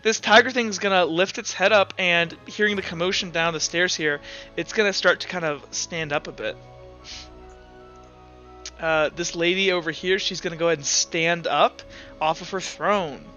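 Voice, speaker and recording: Game master, male, high bright voice, casual and profane, music behind. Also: fairly even intonation, moderate pace, highs cut off. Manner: informal, sweary. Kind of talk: narrating a tabletop roleplaying game.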